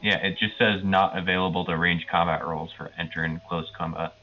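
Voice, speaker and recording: A man with a low voice and plenty of vocal fry chats casually as a tabletop player. The recording is a little dull, with music faintly behind. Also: flat delivery, a little fast. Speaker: player in a tabletop roleplaying game. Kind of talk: casual game chat. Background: background music.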